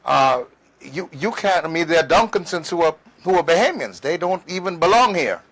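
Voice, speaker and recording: A man's voice: a little high, expressive, normal speed, fairly bright tone. A man, a guest historian explaining at length in a conversational interview, emphatic, with fillers and restarts. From Turks and Caicos Islands.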